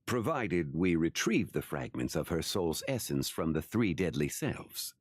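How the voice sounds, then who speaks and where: A man playing an ancient, composed sage in a video game, speaking formally and with measured gravity. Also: low-pitched, big pitch swings, slightly rough, no echo, heavily acted. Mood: explaining.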